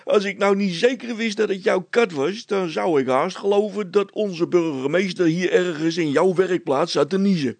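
A man's voice: high voice, moderate pace, creaky, few pauses, a little mellow, no room sound, pitched above the performer's own voice. A man voicing a gullible, jovial village constable in a storybook, chatty and hearty, repeating himself as he rambles. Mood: amused. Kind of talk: storybook character acting.

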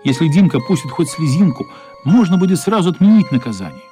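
A man's voice: a little high, sing-song, fast talker, dark tone, soft background music. A man narrating a radio play as dramatic scripted storytelling, expressively voicing the characters' thoughts.

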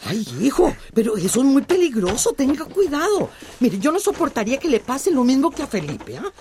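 A woman's voice: sits high, sing-song, a little fast, dark tone, slight background noise. An actress playing an anxious, devout mother of a grown son in a radio drama, fretful and pleading. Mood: worried.

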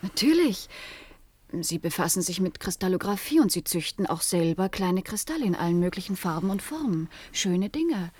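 A brisk, very lively sceptic. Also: female voice, on the low side, breathy edge. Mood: puzzled, questioning. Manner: brisk, questioning, coaxing. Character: brisk, sceptical female colleague and confidante aboard a submarine. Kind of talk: German science-fiction radio play acting.

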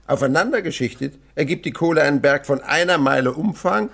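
A man's voice: a little high, expressive, fairly quick, vocal fry, a bit bright, exaggerated voice. An actor playing a patient, enthusiastic male teacher and naturalist in a German radio play, with a didactic, dramatic delivery. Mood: instructive, enthusiastic. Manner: didactic, dramatic swings between murmur and declamation.

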